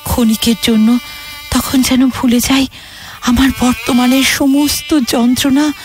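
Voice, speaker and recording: A woman plays a sorrowful motherly spirit of a nation in a poetic radio play. Her voice is high and unhurried, drawn out and emotive, over faint music. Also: fairly even intonation, darkish tone. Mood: wistful nostalgia. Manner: emotive, drawn-out, declamatory delivery. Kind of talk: theatrical, poetic audio drama.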